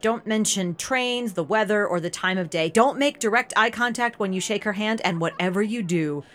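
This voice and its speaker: A woman's voice: mid-range voice, moderate pace, a bit bright, lightly acted. An actress playing an eccentric, wry small-town mother in her sixties, chatty, playful and evasive in a natural audio drama. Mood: conspiratorial. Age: 60s.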